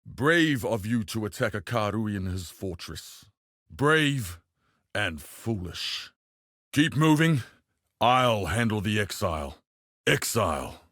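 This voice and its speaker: A low-voiced, proud warrior of a tribe for a video game. He is solemn and devout, bound by honour. Every phrase is delivered gravely and deliberately, like formal oratory. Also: fairly bright tone, heavily acted. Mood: menacing, defiant. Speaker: video game character voice actor. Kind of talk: scripted dramatic game dialogue.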